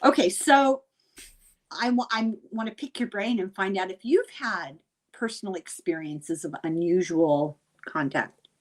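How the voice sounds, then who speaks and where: A woman's voice: medium pitch, measured pace. A woman hosting a casual interview, asking and interjecting in an informal, inquisitive way. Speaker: interviewer, podcast host.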